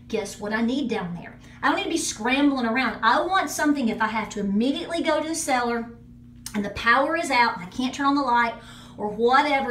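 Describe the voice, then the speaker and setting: A chatty woman with a fairly high voice talks straight to her viewers, emphatic and friendly. She is recorded on a handheld phone in a small bathroom that rings with echo. A faint hiss sits under her voice. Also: fairly bright tone. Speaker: homesteading channel host. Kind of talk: casual product review vlog.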